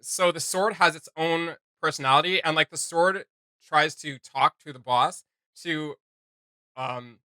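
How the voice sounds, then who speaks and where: A man chatting casually as a reaction video host, excitable and prone to sudden bursts of volume. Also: fairly high pitch, a little slow, no room sound.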